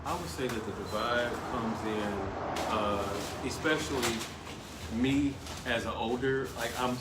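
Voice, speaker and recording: A man's voice: medium pitch, fairly even intonation, measured pace. Casting: man, late thirties, reflective panel guest, mid-range voice, roomy noisy recording. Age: 30s. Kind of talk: casual panel discussion. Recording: echoey room with loud noise.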